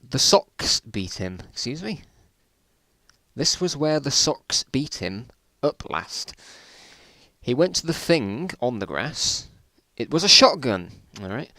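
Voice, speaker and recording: A man's voice: medium pitch, fairly bright tone, no echo. A British man reading stories aloud in an informal dramatic style, breaking off with amused, incredulous asides. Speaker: reader of his own old school stories.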